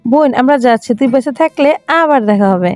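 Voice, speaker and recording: A woman's voice: sits high, animated, fast talker, a little mellow, faint music behind. A woman playing a teasing, outspoken young best friend in a romantic audio story. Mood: amused, warning. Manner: teasing, blunt.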